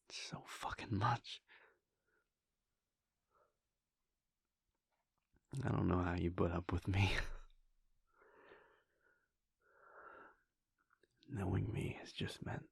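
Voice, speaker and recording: A man playing a gravel-voiced vampire prince. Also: deep voice, no room sound, exaggerated voice. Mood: tender. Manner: hushed, halting and intimate, with soft sighs and pauses. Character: vampire prince, adult man, earnest and devoted. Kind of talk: audio roleplay aimed at the listener.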